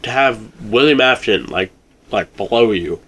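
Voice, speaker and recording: A man's voice: medium pitch, animated, normal speed, a bit bright. A man chatting casually as a discussion partner, agreeing along in brief, affirming remarks. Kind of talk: casual chat.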